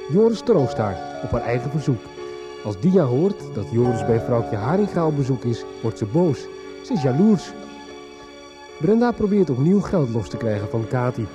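A male narrator with a Netherlandic Dutch accent reads a radio soap recap. His intonation is lively and varied, and music plays clearly behind him. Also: mid-range voice.

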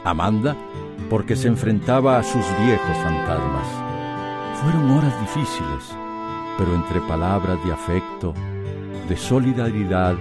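A man's voice: fairly low pitch, expressive, unhurried. A man with a Costa Rican accent giving dramatic scripted narration for a radio soap. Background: plucked guitar music.

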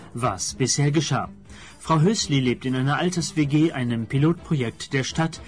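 A man announcing a radio play and recapping the story in a scripted narration. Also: mid-range voice.

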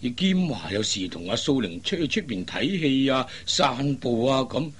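Actor as a lively, puzzled Cantonese father. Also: mid-range voice, normal speed, husky. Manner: hesitant, sighing, exclamatory. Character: puzzled, fretful, kindly father of grown daughters. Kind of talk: Cantonese radio drama acting.

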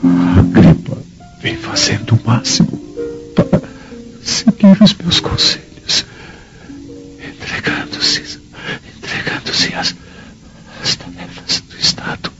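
An aging Roman father in a radio drama, weary and full of disappointment. His delivery is very slow and halting, swinging dramatically between breathy murmurs and raised tones. The recording is dull, with faint music behind. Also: on the low side, sing-song. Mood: troubled. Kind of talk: Brazilian radio drama acting.

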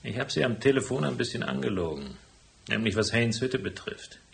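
An actor playing a shrewd, unflappable private detective in a radio crime drama, speaking in a dry, deliberate way. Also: male voice, mid-range voice, animated, vocal fry, a bit bright. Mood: calm, explaining. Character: cool, laconic hardboiled male private detective, shrewd and unflappable. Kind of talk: German radio crime drama acting with first-person narration.

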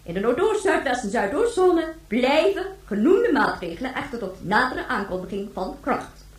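Casting: government announcer, radio play; high-pitched, formal, emphatic, very echoey. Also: female voice, big pitch swings. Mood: formal, proclaiming. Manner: stately, emphatic, measured phrases.

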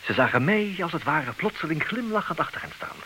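An actor playing a smug, calculating jealous husband in a comic radio play, with a theatrical, declaiming manner. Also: male voice, fairly high pitch, lively intonation, brisk, pushed and bright. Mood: smug triumph. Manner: theatrical, self-satisfied, declaiming. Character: smug, calculating jealous husband, self-styled expert on women. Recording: hissy old radio play recording.

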